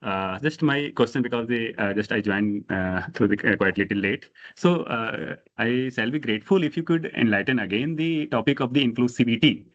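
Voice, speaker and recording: A man's voice: mid-range voice, lively intonation, normal speed. A man from India with an Indian accent, a museum curator, asking a question politely and formally over a video call. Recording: dull lo-fi call audio.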